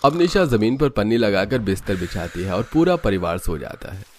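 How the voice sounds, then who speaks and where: A man's voice: lowish voice, sing-song, moderate pace, a little hiss or hum. A man reading scripted narration for a family-drama cartoon story.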